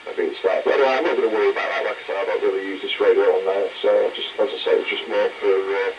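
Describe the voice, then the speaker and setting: A man's voice: on the low side, steady tone, rapid-fire, far from the mic, over a noisy crowd. A man chatting casually as a radio operator, heard over a CB radio transmission. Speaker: radio operator helping with test. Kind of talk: casual two-way radio chat. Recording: muffled noisy CB radio link.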